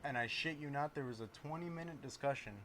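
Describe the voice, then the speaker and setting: A man's voice: on the high side, fast, fairly bright tone, a little hiss or hum. A man hosting a podcast, chatting casually and joking, often repeating himself. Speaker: podcast host. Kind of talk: casual chat.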